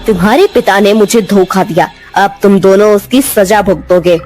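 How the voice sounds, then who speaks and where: A woman's voice: mid-range voice, moderate pace, a little mellow, faint music behind, lightly acted. A woman voicing a cruel, vengeful female serpent spirit in a dubbed cartoon, taunting and threatening.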